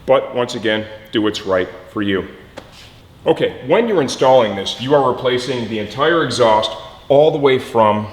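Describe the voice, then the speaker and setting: A man's voice: on the low side, fairly bright tone. A plain-spoken, folksy man hosting a casual step-by-step motorcycle install tutorial, explaining things as he goes. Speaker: host of motorcycle parts install videos. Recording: echoey, reverberant room.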